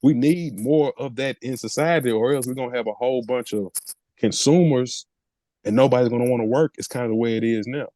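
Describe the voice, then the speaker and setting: Mellow, dry-sounding man chatting casually. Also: mid-range voice, brisk, no room sound. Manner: slangy, emphatic, opinionated. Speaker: podcast co-host. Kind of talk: casual podcast chat.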